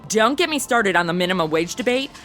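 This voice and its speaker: A young woman playing a snarky, excitable modern gal in a radio comedy, gushing and sassy. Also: mid-range voice, quick pace, faint music behind, natural voice. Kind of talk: comic narration and banter in modern slang.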